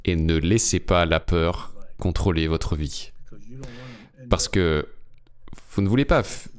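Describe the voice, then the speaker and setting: Male voice-over for a guest in an interview: deep, low voice, earnest and advising. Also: slow. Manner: earnest, advising, repetitive phrasing. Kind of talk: translated interview answers.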